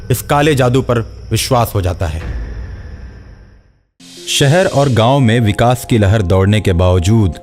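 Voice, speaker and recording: A man's voice: lowish voice, a little mellow. A man narrating a scripted horror story.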